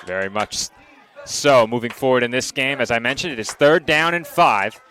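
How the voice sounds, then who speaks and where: Play-by-play man on a headset: bright, cutting voice, brisk and exact, dry quips. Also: medium pitch. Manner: brisk, precise, lightly wry. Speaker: play-by-play announcer. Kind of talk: live football play-by-play.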